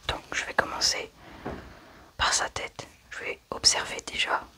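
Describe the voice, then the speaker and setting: The voice is a soft, unvoiced whisper from an ASMR roleplayer acting as a medical examiner. They move calmly through a procedure, describing each step methodically. Nothing rises to full speech. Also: unhurried. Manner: calm, methodical, step-by-step narrating.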